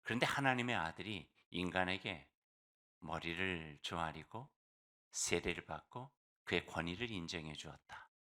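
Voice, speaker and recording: Low-voiced Korean pastor, hoarse from a cold. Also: male voice, expressive. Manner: warm conversational preaching with fillers and slow emphatic phrases. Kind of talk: sermon.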